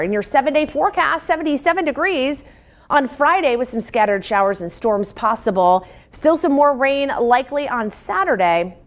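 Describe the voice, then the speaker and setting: An American woman presents live on a television newscast. Her voice sits on the high side, and she talks through forecasts and news items as a broadcast presenter.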